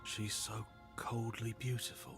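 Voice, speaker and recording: A naive, soft-hearted inner voice in a game, earnest and pleading, as a man plays it. His mid-pitched voice carries over clear game music. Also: little pitch movement, measured pace, lightly acted.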